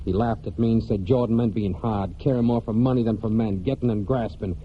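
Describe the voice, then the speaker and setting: An actor in a 1940s American radio drama playing a brooding young farm man and war veteran, speaking plainly and haltingly in rustic phrases. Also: lowish voice, steady tone, a little hiss or hum, lightly acted. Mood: hurt, defensive. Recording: muffled 1940s radio broadcast with music.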